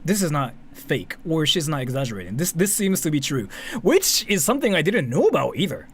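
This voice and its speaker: Animated, high-pitched Japanese-accented man, rambling. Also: brisk. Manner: rambling, repetitive, self-interrupting, swears casually. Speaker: streamer, commentary host. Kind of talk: casual live reaction commentary. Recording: faint background noise.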